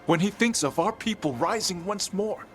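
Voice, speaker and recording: An actor voicing a solemn, devoted elven zealot in a video game, speaking in a grave, formal and fervent way. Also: male voice, high voice, lively intonation, moderate pace, a little hiss or hum. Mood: devout. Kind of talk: dramatic video game voice acting. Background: music.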